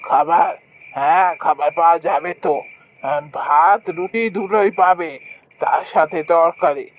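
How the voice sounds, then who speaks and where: A man's voice: on the high side, a little slow, natural voice. A man voicing a ghostly middle-aged food-stall keeper in a horror cartoon, insistent and repetitive, over night ambience. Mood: humble, hospitable. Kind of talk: animated horror story acting.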